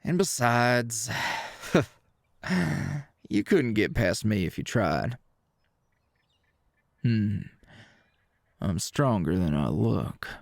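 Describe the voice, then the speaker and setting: An actor playing a friendly, awkward, protective rancher with a Southern US accent and hesitant, stammering, folksy speech in an ASMR roleplay. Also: male voice, on the low side, lively intonation, drawn-out words, gravelly, pauses often, dry recording, studio quality, heavily acted. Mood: protective, warning.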